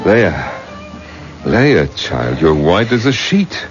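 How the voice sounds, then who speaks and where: An actor playing a protective old religious teacher in a 1970s radio drama, grave and emphatic. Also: male voice, sits low, sing-song, very slow, soft background music, exaggerated voice. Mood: guarded, concerned. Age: older. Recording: muffled old radio broadcast.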